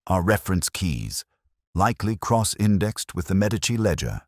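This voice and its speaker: Guy narrator doing a really deep victorian detective, precise and bossy, lecturing, dry close sound. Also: sing-song, dry recording, heavily acted. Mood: intent, measured. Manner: deliberate, didactic, commanding.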